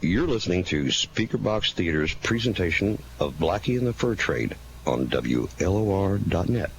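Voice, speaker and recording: A man's voice: low voice, animated, moderate pace. A man announcing a radio drama in a dramatic, scripted old-time style. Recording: noisy radio broadcast recording.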